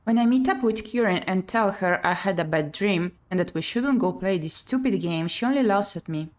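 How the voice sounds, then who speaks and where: A woman's voice: medium pitch, expressive, moderate pace. A woman playing a visual novel on her channel, reading the text aloud and adding chatty commentary. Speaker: video game streamer.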